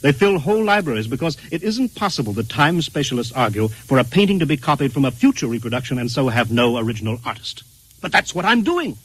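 An anguished man racked with self-doubt in an old fifties radio play. His voice is husky and rough at the edges, and he pleads earnestly, easily agitated. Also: medium pitch, very animated, fast talker, rough recording. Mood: agitated, guilty. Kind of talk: 1950s radio drama acting.

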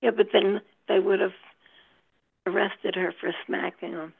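A woman chatting casually and haltingly in a group call, pausing mid-sentence. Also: medium pitch, steady tone, gravelly, slightly rough, no echo. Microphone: phone or online meeting call. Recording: narrow phone-like line.

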